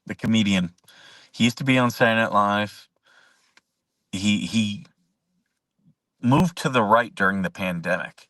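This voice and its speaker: A man's voice: fairly low pitch, measured pace, long pauses, fairly bright tone, no echo, far from the mic. A man hosting a casual live chat show, rambling, chuckling often and answering viewer comments as he goes. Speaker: host.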